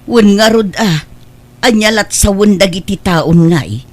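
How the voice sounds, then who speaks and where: A practical older mother played by a woman who nags her family. She speaks at a medium pitch, in the style of a radio comedy drama. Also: animated, unhurried. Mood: nagging.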